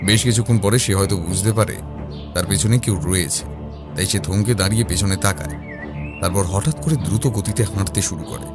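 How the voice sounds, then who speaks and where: A man playing a composed detective who recounts his investigation in a measured, explanatory first-person narration. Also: deep voice, natural voice. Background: background music.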